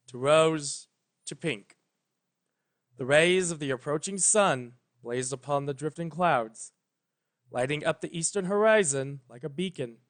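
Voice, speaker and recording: Guy reading fanfic aloud, kinda high voice, dramatic storybook narration. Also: fairly bright tone. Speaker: narrator, fan fiction reader.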